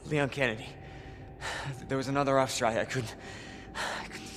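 An actor playing a young male rookie police officer, dutiful and urgent, often shouting under pressure. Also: medium pitch, fairly even intonation, normal speed, close to an everyday voice. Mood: somber. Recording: game soundtrack with heavy background noise.

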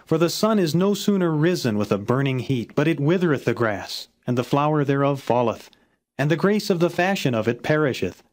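A man reading scripture as a non-dramatized audiobook, with measured, solemn and formal phrasing. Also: a little high, sing-song. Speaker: Bible reader, narrator.

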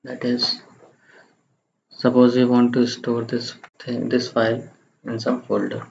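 A man narrating a step-by-step software screencast in a halting, plain-spoken way. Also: mid-range voice, monotone, gravelly. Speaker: tutorial presenter. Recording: muffled lo-fi microphone, faint background noise.

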